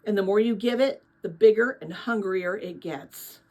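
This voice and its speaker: A woman's voice: mid-range voice, normal speed, darkish tone, lightly acted. A woman voicing a kindly, thoughtful adult woman in a storybook, earnest and reflective, with sadness and hope. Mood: sad, solemn.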